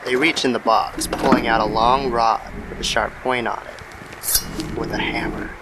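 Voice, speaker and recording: A man's voice: mid-range voice, fairly even intonation, a little slow. A man narrating a scripted horror story as the storyteller of an audio drama. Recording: clear background noise under the voice.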